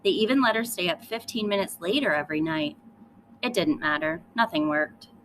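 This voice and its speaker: A woman's voice: on the low side, fairly quick, a bit bright, slight background noise. A woman teacher reading a children's storybook aloud, speaking directly to young listeners with emphatic storytelling.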